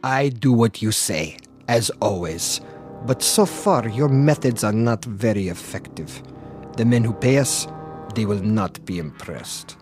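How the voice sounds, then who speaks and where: Dim blunt soviet thug sidekick, lowish voice, stolid, radio play with faint music. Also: male voice, animated, a little slow, darkish tone. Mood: defiant. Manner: plain-spoken, stolid. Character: blunt, dull, grudgingly obedient male Soviet henchman. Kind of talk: radio drama acting.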